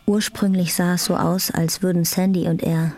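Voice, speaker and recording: A woman's voice: on the low side, fairly even intonation, normal speed, a little mellow. A woman reading scripted literary narration as the narrator of a radio drama. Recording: faint music behind.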